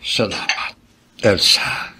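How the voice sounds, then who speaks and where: An actor playing an ailing, remorseful old father, plaintive and halting, in a Filipino radio drama. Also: bright, forceful tone, a little hiss or hum. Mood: grateful, ashamed. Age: older.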